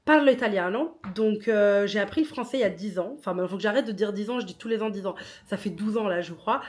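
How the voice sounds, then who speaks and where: A woman's voice: mid-range voice, fast talker. Chatty woman mixing in English words. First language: not French. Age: 30s. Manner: chatty, switches into English mid-sentence, laughs at herself. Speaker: podcaster and writer. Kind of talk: casual personal monologue.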